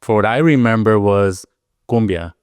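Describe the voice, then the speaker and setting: A man in his thirties, from the Arizona–Mexico border, who reflects on his past with an unhurried ease. His voice sits a little low and sounds smooth and clear, close and dry against the mic. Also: no echo. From Arizona-Mexico border, Sonoran Desert. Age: 30s. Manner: easygoing, reflective storytelling with many fillers. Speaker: podcast guest, music producer and DJ. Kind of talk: casual interview chat.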